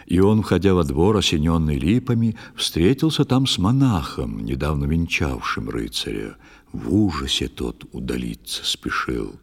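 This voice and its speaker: A man narrating a literary fairy tale in verse, reciting it in a solemn, measured and expressive way. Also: rumbling low, creaky, breathy edge. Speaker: storyteller narrator.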